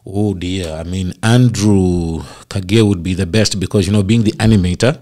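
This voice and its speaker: Man, middle-aged, lowish voice, chatty interview guest, tells stories, mimics people he quotes. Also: steady tone, normal speed, warm tone. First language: not Swahili. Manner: expansive, storytelling, acts out quoted voices. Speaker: actor being interviewed. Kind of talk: casual interview chat.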